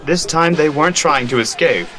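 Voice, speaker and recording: A man's voice: on the high side, normal speed, music in the background, close to an everyday voice. A man playing an easygoing, teasing adult writer with a breezy manner in a radio drama. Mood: breezy, playful. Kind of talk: amateur anime-style radio drama acting.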